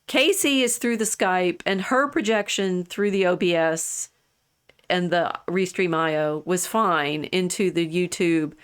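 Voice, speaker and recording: A woman's voice: lowish voice, smooth. A woman in her sixties hosting a podcast in casual chat, rambling and restarting her sentences, with frequent laughs. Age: 60s. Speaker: podcast host.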